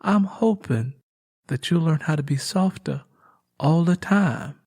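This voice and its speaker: A man's voice: fairly high pitch, a little slow, darkish tone, slightly rough, pitched above the performer's own voice. A man voicing an earnest, guarded young country woman with a cautious, pleading manner in an audiobook. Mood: cautious, hopeful. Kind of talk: dramatised audiobook dialogue.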